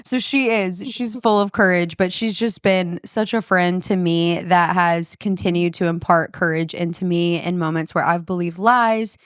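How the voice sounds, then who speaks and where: A woman hosting a podcast, talking in an enthusiastic, gushing and quick way. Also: lowish voice, fairly even intonation, clean tone, dry recording, studio quality. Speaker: podcast host. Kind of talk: casual podcast chat.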